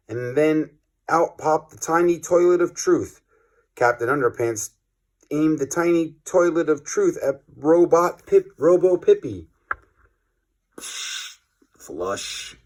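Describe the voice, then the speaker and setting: A man's voice: on the high side, darkish tone. A man narrating a children's story expressively, like a teacher reading aloud to pupils.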